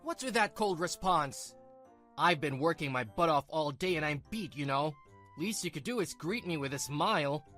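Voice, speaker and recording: A man's voice: on the high side, animated, moderate pace, fairly bright tone, faint music behind. Belittling, short-tempered husband, acted by a man. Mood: scornful. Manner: scornful, blaming. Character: belittling, short-tempered working husband, self-pitying and domineering. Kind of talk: manga dub acting.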